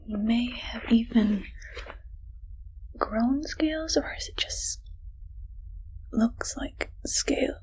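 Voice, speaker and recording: A woman voicing a friendly but evil scientist in a whispered ASMR medical roleplay, breathy, coaxing and playful. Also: fairly high pitch, low-quality recording. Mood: playful, clinical. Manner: slow, soft, playful, coaxing.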